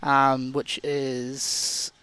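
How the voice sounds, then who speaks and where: A man in his thirties, a guest telling stories in a relaxed, rambling way with frequent restarts. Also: medium pitch, extremely slow, clean tone, no room sound. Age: 30s. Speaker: guest, CrossFit athlete and coach. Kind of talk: casual podcast interview.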